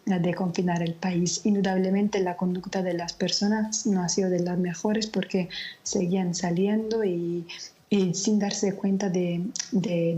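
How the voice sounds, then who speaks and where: Lady interview guest, kinda tired n subdued, pretty flat mellow voice, muffled call audio. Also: fairly low pitch. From Naples, Italy. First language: Italian. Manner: subdued, measured. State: tired late at night. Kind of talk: live interview answers. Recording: dull lo-fi remote connection.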